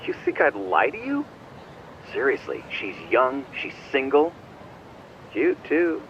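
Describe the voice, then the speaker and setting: A man's voice: medium pitch, huge pitch swings, takes it slowly, exaggerated voice. An actor playing a sly male business-owner buddy in a video game cutscene, coaxing and teasing. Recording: dull band-limited voice over vehicle noise.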